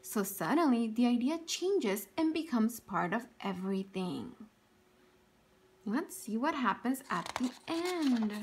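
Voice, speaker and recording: Lively high-voiced woman reading aloud. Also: slow, a little hiss or hum. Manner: expressive storytelling with questions for young listeners. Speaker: teacher. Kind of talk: reading a picture book aloud to children.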